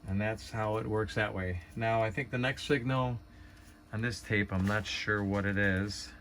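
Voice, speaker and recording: A man's voice: lowish voice, fairly even intonation, measured pace, a bit bright. A man giving a casual hands-on tutorial, thinking aloud in an unpolished, hesitant way. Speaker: hobbyist demonstrator.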